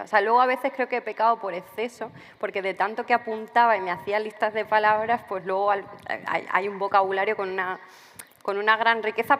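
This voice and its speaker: A woman's voice: mid-range voice, long reverb tail, slight background noise. A woman writer answering interview questions at a literature festival, thinking aloud with frequent restarts. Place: festival venue.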